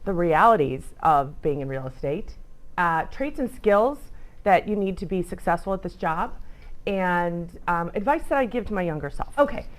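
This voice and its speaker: Chatty middle-aged realtor woman from Illinois. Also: moderate pace. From northern Illinois. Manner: chatty, lively pitch swings, self-interrupting asides. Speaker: guest speaker, realtor. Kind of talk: informal talk to students. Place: school club meeting room.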